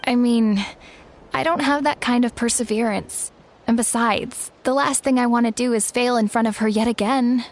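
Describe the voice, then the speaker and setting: A woman's voice: a little high, normal speed, slight background noise, natural voice. A woman playing a discouraged, self-doubting young would-be flying rider in a game, hesitant and resigned. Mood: dejected, hesitant.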